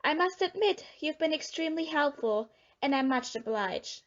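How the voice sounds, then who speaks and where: A woman's voice: high-pitched, lively intonation, moderate pace, muffled recording. A woman playing a composed, imperious lady traveller in an amateur audio play, speaking formally and emphatically. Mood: gracious, composed.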